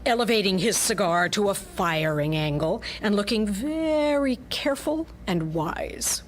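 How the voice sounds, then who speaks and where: A woman's voice: medium pitch, lively intonation, a little slow. A woman delivering dramatic scripted narration for a pulp detective story, as in radio theatre.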